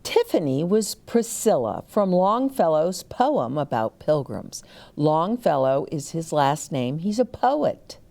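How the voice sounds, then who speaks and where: A woman storyteller reading a picture book aloud to young children, animated, with chatty explanatory asides. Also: low-pitched, takes it slowly, creaky.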